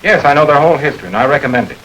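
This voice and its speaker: An actor playing a firm, businesslike district attorney in a 1940s radio drama. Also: male voice, a little high, lively intonation, a bit bright, low-quality recording. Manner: measured, businesslike. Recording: hissy old radio recording.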